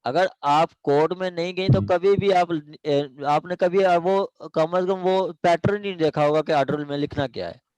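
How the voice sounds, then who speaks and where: A Pakistani man with a slightly raised pitch teaches an exam class. He is emphatic, repeats key phrases and often checks for agreement. The sound is very dry, without room echo. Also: darkish tone, clear, resonant tone. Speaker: law lecturer preparing students for judicial exams. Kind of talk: online class discussion and exhortation.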